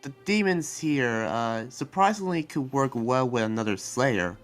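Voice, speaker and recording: A man playing an earnest, soft-hearted demon researcher in an improvised anime roleplay, speaking in a halting, rambling way. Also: mid-range voice, animated, lightly acted. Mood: explaining, thoughtful. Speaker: roleplay player. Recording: background music under the voice.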